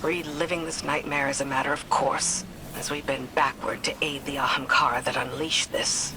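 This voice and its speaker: A stern female warrior in a video game, low and grave. She speaks in clipped, commanding phrases with a bitter edge, over clearly noisy ambience. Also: flat delivery, moderate pace. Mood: grim, resentful. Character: stern, bitter woman warrior serving a queen. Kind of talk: video game dramatic dialogue.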